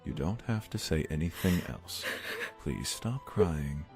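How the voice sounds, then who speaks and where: A man playing a suave, smooth-talking seducer with a cruel streak in an audio drama. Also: very deep voice, expressive, unhurried, darkish tone, faint music behind, heavily acted. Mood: tender, earnest. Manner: smooth-talking, affectionate endearments. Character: suave, charming, secretly cruel male seducer.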